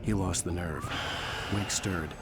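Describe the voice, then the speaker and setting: An actor playing an intense, driven writer hero in a horror game, speaking in an earnest, grave and deliberate way. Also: male voice, sits low. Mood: brooding, grave. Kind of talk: brooding scripted game narration and dramatic dialogue. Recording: loud music under the voice.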